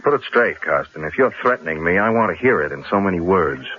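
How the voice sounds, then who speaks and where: An actor playing a wry, stubborn, articulate newspaper columnist in a radio drama. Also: male voice, low-pitched, lively intonation, fast. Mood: challenging. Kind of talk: 1970s radio drama acting. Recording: muffled old radio drama broadcast.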